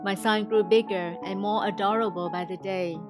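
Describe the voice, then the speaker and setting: A woman's voice: medium pitch, fairly even intonation, normal speed. Woman reading a testimony over prominent piano music. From Indonesia. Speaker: testimony speaker. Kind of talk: scripted inspirational testimony narration.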